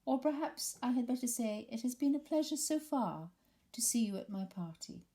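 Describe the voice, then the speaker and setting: A woman voicing a gloomy, pompous old male donkey who speaks slowly and solemnly. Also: fairly high pitch, expressive, dark tone, pitched above the performer's own voice. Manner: slow, solemn, self-pitying speech.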